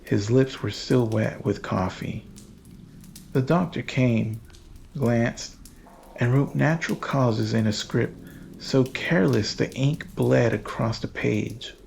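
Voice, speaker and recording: A man reading scripted horror narration in a grave, measured, ominous manner. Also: medium pitch, gravelly, darkish tone, a little hiss or hum.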